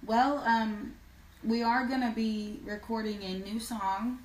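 A woman's voice: a little high, steady tone, slow pace. A young woman chatting casually on a live stream from a small room, easygoing and chiming in with short additions. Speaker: singing duo member. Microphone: phone camera at a distance. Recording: echoey room, from a distance.

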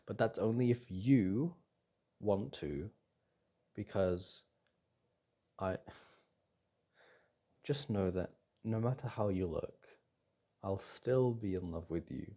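A man plays a devoted boyfriend in an intimate audio roleplay. His low voice is soft, husky and mellow. He talks tenderly and reassuringly, as if to a partner close by. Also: slow pace, pauses often. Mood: tender, supportive.

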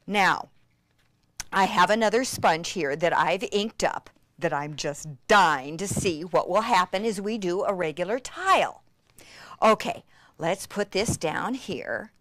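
Female craft demonstrator, breathlessly enthusiastic, unhurried delivery, close dry sound. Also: fairly low pitch, no room sound. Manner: gushing, breathlessly excited, with frequent gasps and exclamations.

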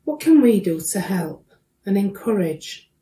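A middle-aged woman leads prayers in a slow, measured way, leaving pauses between short phrases. Her voice is soft-edged and mellow. She is recorded a little way from the microphone in a domestic room. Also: medium pitch, sing-song. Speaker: church prayer leader. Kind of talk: reading led prayers aloud to a congregation. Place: small domestic room, indoors. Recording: slightly distant microphone.

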